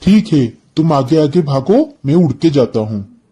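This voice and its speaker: Man voicing a slow, sly cartoon crow. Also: fairly high pitch, big pitch swings, dark tone, husky, exaggerated voice. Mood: sly coaxing. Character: cunning selfish male crow, sweet-talking trickster. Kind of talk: cartoon moral story acting.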